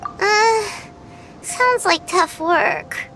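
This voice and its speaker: A woman voicing a tiny childlike fairy companion in a video game, naive and expressive, with a squeaky voice. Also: drawn-out words, a little hiss or hum, full character voice, rough recording. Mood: surprised. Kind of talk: video game story dialogue.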